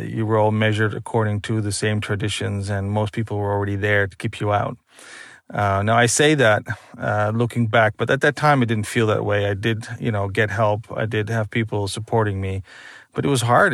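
A man with a low, gravelly voice talks through his career in an interview. He is reflective and halting, fills his pauses with ums and uhs, and often restarts his sentences. The sound is close and dry. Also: no echo. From Netherlands. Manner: hesitant, many fillers and restarts. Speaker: photographer, interview guest.

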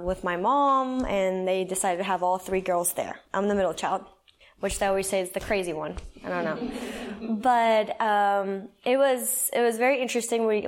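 A woman from El Salvador in her thirties, telling her personal faith testimony in an earnest, conversational way with frequent fillers. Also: medium pitch. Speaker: guest sharing her testimony.

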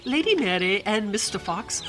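A woman's voice: medium pitch, expressive, normal speed, rough recording. A woman narrating a fairy-tale radio drama in a theatrical, suspenseful style. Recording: music bed under voice.

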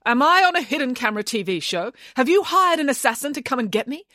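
Woman voicing a bold, emphatic pig nanny, animated pitch. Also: brisk, dry recording, studio quality. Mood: alarmed, suspicious. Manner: emphatic, haughty, dismissive. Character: bold, indignant, dramatic female pig nanny. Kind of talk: children's storybook character voice.

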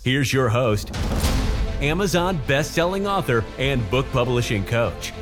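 Lively male announcer over music. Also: mid-range voice, unhurried. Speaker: podcast announcer. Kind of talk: scripted show intro.

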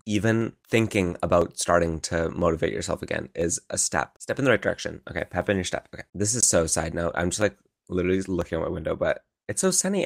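A young man hosting a casual solo podcast from his room, rambling and encouraging, with lots of filler words and a croaky morning voice. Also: low-pitched, no echo, clean studio sound. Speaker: podcast host. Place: his own room at home.

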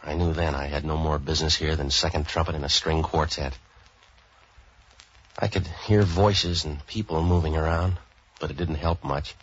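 An actor playing a wisecracking, street-wise boat-for-hire man in 1940s radio style, clipped, sardonic and deadpan, both in narration and dialogue. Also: a bass voice, normal speed, slightly rough. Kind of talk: 1940s hard-boiled radio acting, first-person narration. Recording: dull old 1940s radio recording.

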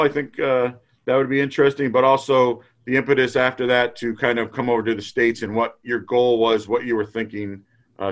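A man's voice: medium pitch, dull audio. A man hosting an interview in a casual way, friendly and a little hesitant, with many fillers. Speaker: podcast host.

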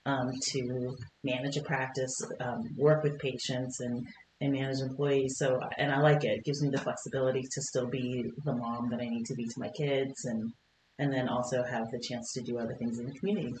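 A middle-aged woman from Kentucky, a podcast guest, talking in a warm, chatty way with frequent laughs and fillers. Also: very deep voice, level tone, brisk, reverberant, a little hiss or hum, low-quality recording. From Kentucky, United States. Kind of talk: conversational interview. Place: podcast studio.

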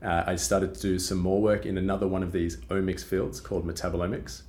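A middle-aged man from Australia with an Australian accent softened by years in Britain, explaining research in a podcast interview with frequent fillers and restarts. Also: sits low, flat delivery, brisk. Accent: Australian with British influence. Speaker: guest scientist.

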